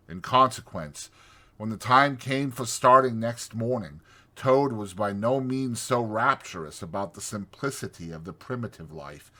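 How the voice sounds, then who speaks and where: The man you would hear on a dramatized audiobook of an old children's classic, telling the tale theatrically in a lowish, keen-edged voice. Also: a bit bright. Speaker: audiobook narrator. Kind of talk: dramatized storybook narration.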